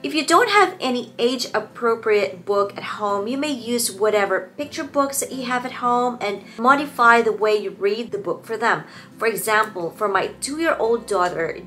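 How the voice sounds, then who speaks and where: A woman in her thirties presents scripted teaching tips in a mid-pitched voice. She explains step by step and enunciates clearly, like an educator addressing parents. Music plays behind her. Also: a bit bright. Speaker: early childhood educator giving parenting tips. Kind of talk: scripted instructional presentation to camera. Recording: background music under the voice.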